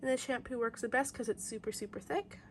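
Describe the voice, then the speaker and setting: A woman's voice: fairly high pitch, fairly even intonation, quick pace. A young woman with a head cold, hosting a casual slime and toy tutorial from her bedroom in a bubbly, upbeat way. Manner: bubbly, upbeat, repeats "you guys". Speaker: toy and slime channel host. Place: bedroom, indoors. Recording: loud air conditioner hum. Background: air conditioner noise.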